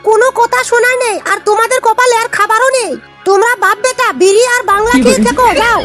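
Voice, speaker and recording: A woman's voice: very high voice, steady tone, fast, over music. A woman voicing an indignant cartoon mother and nagging wife who scolds and laments. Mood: furious lamenting. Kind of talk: amateur comedy cartoon dialogue.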